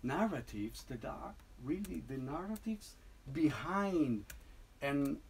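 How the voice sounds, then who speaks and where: Man explaining his piece haltingly. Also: fairly high pitch, sing-song, slight background noise. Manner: halting, many restarts and tag "no?". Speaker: composer presenting a piece. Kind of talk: informal talk to an audience.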